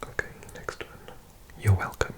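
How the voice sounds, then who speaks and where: A person giving an ASMR language lesson in a breathy whisper, softly repeating words in an unhurried way. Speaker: language teacher.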